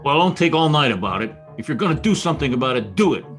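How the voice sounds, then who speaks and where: An actor playing a wisecracking, world-weary private detective in a noir radio drama, wry and sardonic. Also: male voice, on the high side, sing-song, quick pace, a bit bright, faint music behind. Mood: urgent, wry. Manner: wry, sardonic, clipped tough talk. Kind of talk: old-time radio noir acting and first-person narration.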